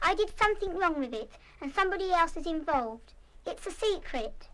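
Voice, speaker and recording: A young schoolgirl in a radio play. Her voice is very high and bright, and she speaks with earnest, wholehearted feeling, defiant yet kind-hearted and quick to show remorse. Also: lively intonation, a little hiss or hum. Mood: pleading, remorseful. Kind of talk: full-cast audio radio play acting.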